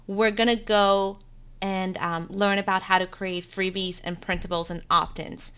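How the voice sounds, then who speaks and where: A woman's voice: medium pitch, flat delivery, a little slow, a bit bright, clean tone. Upbeat South African woman teaching live. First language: Afrikaans. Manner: upbeat, chatty, with frequent ums. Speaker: blogging teacher. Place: home.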